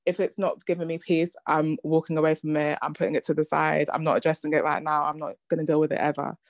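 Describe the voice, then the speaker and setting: Brief: thirties woman, podcast host, low register, dry close sound, reflective and conversational. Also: level tone, quick pace, no room sound. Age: 30s. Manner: reflective, thinks aloud with fillers and restarts. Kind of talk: casual conversation.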